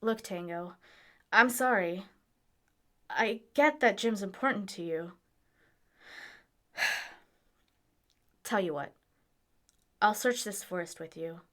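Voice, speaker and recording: A woman's voice: medium pitch, slow pace, pauses often, fairly bright tone. A woman acting in an audio drama as a blunt, pragmatic young traveler, frank and informal, exasperated but caring. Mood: firm, reasoning.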